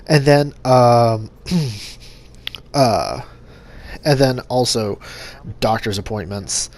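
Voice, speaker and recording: A young man hosting a gaming channel, talking casually to his subscribers in a monotone with lots of fillers and restarts. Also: mid-range voice, extremely slow. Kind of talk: casual vlog update to subscribers.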